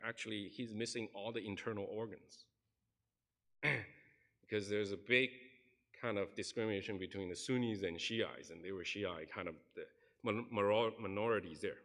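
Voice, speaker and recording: A man's voice: lowish voice, fairly bright tone. A man preaching a sermon in a church, earnestly telling a story and acting out the people in it. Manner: earnest, recounts stories and acts out quoted people. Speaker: pastor. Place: church sanctuary.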